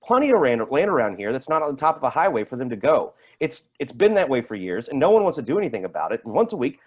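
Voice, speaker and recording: Man acting as edgy highway patrolman, rapid stammering speech, animated pitch swings, foul-mouthed, stage drama. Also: breathy edge. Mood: irritated ranting. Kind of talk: staged play reading.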